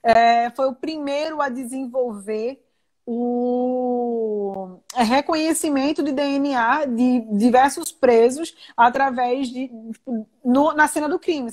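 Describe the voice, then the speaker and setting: Guest: young woman from Recife, strong Pernambuco accent, lively chatty answers. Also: sits high, slow. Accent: Pernambuco Portuguese. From Recife, Brazil. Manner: animated, talkative, many fillers and restarts. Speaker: guest, science communicator and entrepreneur. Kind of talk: video call interview.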